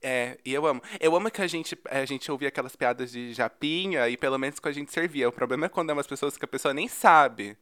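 A man's voice: mid-range voice, fast, few pauses, sharp tone. A man with a Brazilian accent hosting a podcast in a casual, chatty way, effusive and slangy, given to playful exaggeration. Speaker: podcast host.

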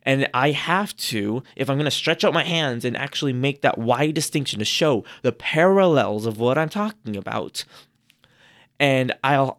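A man giving casual advice on public speaking on a podcast, chatty and rambling, restarting his sentences often, his voice a little hoarse. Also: medium pitch, a bit bright. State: slightly hoarse. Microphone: podcast microphone.

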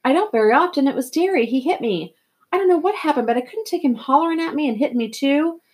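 A woman's voice: medium pitch, expressive, a little fast, close to an everyday voice. A woman reading a story as a sensitive teenage boy, emotional and plain-spoken. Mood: scared, hurt. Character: sensitive, upset teenage boy, younger brother.

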